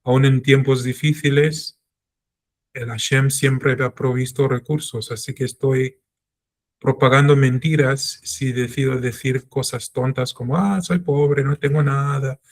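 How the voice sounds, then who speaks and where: Middle-aged guy teaching torah, earnest and personal, mid-pitched voice. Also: steady tone, measured pace. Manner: earnest, personal, self-reflective. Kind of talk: religious class lecture.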